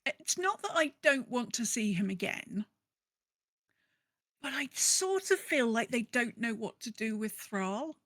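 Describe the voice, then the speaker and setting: Woman chatting, high-pitched and animated. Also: unhurried, dry recording, clean studio sound. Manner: animated storytelling, acts out imagined remarks. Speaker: podcast co-host. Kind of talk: casual conversational discussion of a video game.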